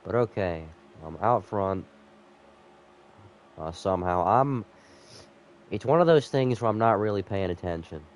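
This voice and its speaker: A man's voice: on the low side, animated, a little slow, long pauses. A man sick with a cold, slightly nasal, rambling casually to viewers as he commentates a live game stream.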